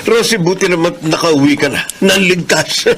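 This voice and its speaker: An actor playing a kindly, reassuring uncle in a Filipino radio drama. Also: male voice, sits high, moderate pace, a bit bright, a little hiss or hum. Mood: welcoming. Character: kindly, reassuring uncle welcoming his sister home.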